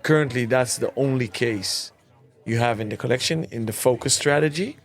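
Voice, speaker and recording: A man hosting a conversational interview, deliberate in how he lays out his points. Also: mid-range voice. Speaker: interview show host.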